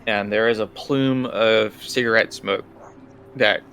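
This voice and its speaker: A man working as game master, improvising scene narration for a tabletop role-playing game in a halting, thinking-aloud way. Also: fairly low pitch, steady tone, fairly bright tone. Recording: clear background room noise.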